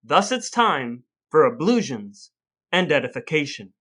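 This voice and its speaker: A man's voice: a little high, sing-song, measured pace, bright, forceful tone. An American man co-hosting a podcast with wry, mock-formal, wordy phrasing, moving between scripted reading and chat. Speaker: podcast co-host.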